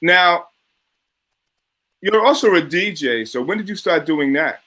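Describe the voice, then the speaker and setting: A man's voice: a little high, expressive, measured pace, bright, forceful tone. A man hosting a casual interview show, asking short friendly questions. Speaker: host.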